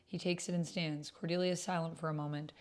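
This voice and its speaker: A woman's voice: low voice, little pitch movement, fast, darkish tone. A woman who hosts a roleplay session, reading text aloud expressively and adding casual commentary. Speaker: solo tabletop roleplay player and host.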